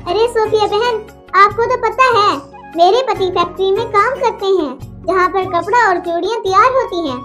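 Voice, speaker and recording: A woman's voice: very high-pitched, moderate pace, sharp tone. A woman voicing a kind, chatty little female bird in a children's cartoon, with music playing behind. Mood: friendly.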